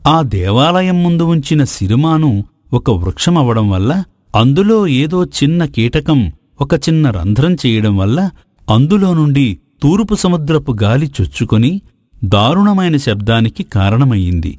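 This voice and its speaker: A man's voice: mid-range voice, sing-song, normal speed, darkish tone, no echo, clean studio sound, lightly acted. A man playing a greedy, courtly ascetic sage in a moral folk tale, with formal, theatrical phrasing. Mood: deferential. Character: flattering, greedy male ascetic sage, courtly and self-serving.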